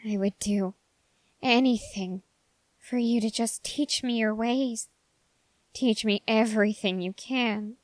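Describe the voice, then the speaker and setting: A woman plays an ambitious young man with a dark heart in an intimate ASMR roleplay. The delivery is slow and formal, full of deliberate pauses. The sound is dry and close, with no room around it. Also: medium pitch, no echo, close to an everyday voice. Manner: deliberate, halting, formal phrasing with drawn-out pauses. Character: ambitious, dark-hearted young male human bargaining with a demon.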